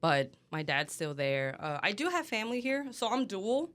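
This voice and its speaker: A woman's voice: medium pitch, sing-song, moderate pace, fairly bright tone. A woman in her thirties from Brazil, whose first language is Portuguese, talking candidly as a podcast guest and laughing often. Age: 30s. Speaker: head brewer guest. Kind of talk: casual conversation.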